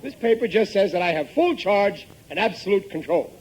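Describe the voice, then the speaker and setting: Blustering middle-aged working-class dad, high voice with big swoops, gullible and cocky, old radio sitcom. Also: male voice, animated, moderate pace, poor audio. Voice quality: exclamatory, ungrammatical working-class speech. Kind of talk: 1950s radio comedy acting. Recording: vintage 1950s radio recording.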